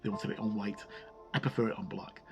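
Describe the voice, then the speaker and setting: A man giving a casual, informal review as he leafs through a book, halting as he goes and muttering the odd aside. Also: on the low side, gravelly, muffled recording, soft background music. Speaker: tabletop game book reviewer. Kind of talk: casual flip-through review with read-out passages.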